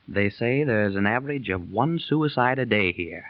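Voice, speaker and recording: A man's voice: lowish voice, expressive, normal speed, dry recording, close to an everyday voice. A man playing a brash, eager gambler. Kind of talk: 1930s radio drama acting. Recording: muffled 1930s radio transcription.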